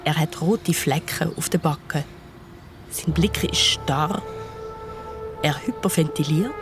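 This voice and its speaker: A woman narrator telling a children's story in a playful, colloquial, expressive way. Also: sits low, takes it slowly, music in the background.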